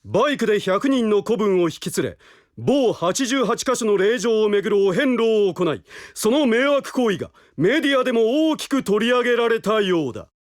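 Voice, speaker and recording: A man's voice: sits high, big pitch swings, normal speed, dry recording, studio quality. A man playing a merciless, haughty prosecutor in an audio drama, with pompous, commanding phrasing. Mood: accusatory, forceful. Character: haughty, merciless male prosecutor pressing the accused. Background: background music.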